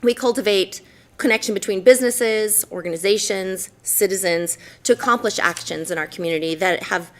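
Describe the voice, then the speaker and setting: A woman's voice: mid-range voice, fairly quick. A woman in her forties from Idaho giving a formal presentation in a city council chamber, earnest and sprinkled with ums and self-corrections. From Idaho, USA.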